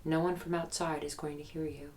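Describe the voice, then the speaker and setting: A woman's voice: deep voice, flat delivery, darkish tone, slight background noise, close to an everyday voice. An actress playing a guarded young woman in an audio drama, recounting a haunted childhood memory in a hesitant, reflective, documentary-interview way. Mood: uneasy, haunted. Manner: hesitant, reflective storytelling with pauses.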